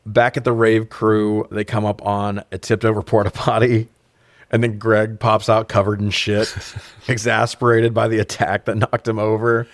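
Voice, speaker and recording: A man in his forties with a fairly low voice talks like a co-host on a comedy review show. He is sarcastic and swears freely as he recaps in loose, casual chat. The sound is dry, with very little room in it. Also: flat delivery, moderate pace, no room sound. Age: 40s. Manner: profane, sarcastic. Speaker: podcast co-host reviewing films.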